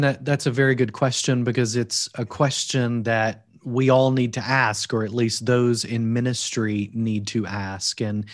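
A man with a Kentucky accent, a guest on a podcast, speaking in an earnest, deliberate way with preacherly emphasis. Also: mid-range voice, no echo, clean studio sound. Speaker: guest, seminary teacher and preacher. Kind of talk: measured podcast interview. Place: recorded from Wales.

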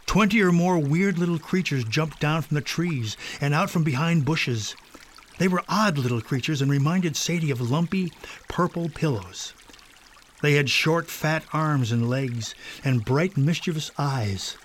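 A man's voice: a little high, a little slow, slight background noise. A man narrating a scripted children's story.

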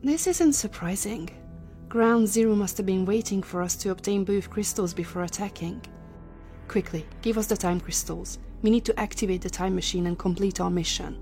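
A woman's voice: medium pitch, moderate pace, dark tone, soft background music, natural voice. A woman playing a cold, commanding scientist in a sci-fi audio drama, speaking formally and imperiously. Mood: urgent, commanding. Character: cold, commanding female scientist leading a time-travel mission.

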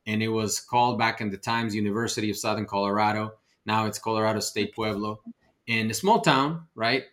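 Guy from ecuador, native spanish speaker, lowish voice, telling his life story on a podcast. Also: fairly even intonation, brisk, fairly bright tone. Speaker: podcast guest, real estate investor. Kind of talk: personal storytelling in an interview.

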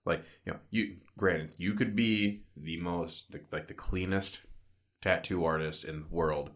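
A man's voice: low-pitched, fairly even intonation, measured pace. A young man co-hosting a podcast, chatting in a laid-back way with frequent swearing and restarts. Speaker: podcast co-host. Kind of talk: casual chat.

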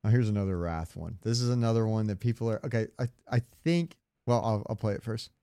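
A man's voice: fairly low pitch, brisk, warm tone, clear, resonant tone, no echo. Casual man co-hosting, in a dry room. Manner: informal, joking. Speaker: podcast co-host. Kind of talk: casual gaming chat, ranking voice lines.

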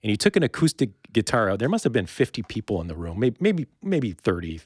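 A man hosting an interview in a casual conversational style, halting and repeating words as he works toward his point. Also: lowish voice, big pitch swings, husky, dry recording, clean studio sound. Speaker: podcast host, interviewer.

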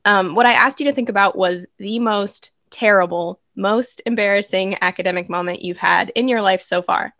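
A woman's voice: mid-range voice, normal speed, hardly any pauses, fairly bright tone, no echo. A young adult woman, a college student, hosting a podcast in a reflective, thoughtful way with a few ums.

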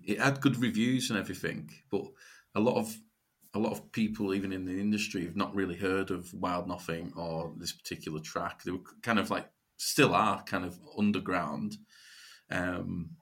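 A man from Cumbria with a Northern English accent, a musician answering interview questions in a halting, informal, conversational way. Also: low-pitched, a bit bright. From Cumbria, England. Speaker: guest musician.

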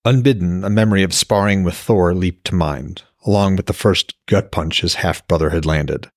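A man's voice: sits low, normal speed, dry recording, clean studio sound. A man reading scripted fantasy fiction as an audiobook narrator. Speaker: author and podcast narrator.

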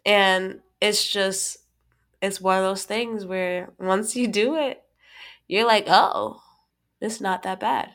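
A woman's voice: medium pitch, slow pace. Stuffy-voiced woman chatting animatedly. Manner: animated, laughs often, restarts phrases. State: stuffy from a cold. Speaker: podcast co-host. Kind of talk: casual chat.

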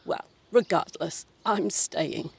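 An actress plays an imperious old British mother's ghost in an audio play. The voice is husky with a creaky edge, and the delivery is haughty, fussy and scolding, with prim, proper phrasing. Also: on the low side, slow, exaggerated voice. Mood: indignant, prim.

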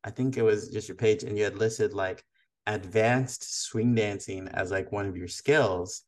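A man's voice: fairly low pitch, fairly even intonation, unhurried. A man hosting a casual podcast interview, friendly and teasing, rambling with restarts. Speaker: podcast host.

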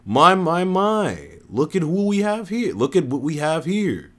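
A man plays a coy storybook woman, pitched well up high. The delivery is sing-song and mocking, swooping about as the character teases everyone. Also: sing-song, unhurried. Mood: mocking surprise.

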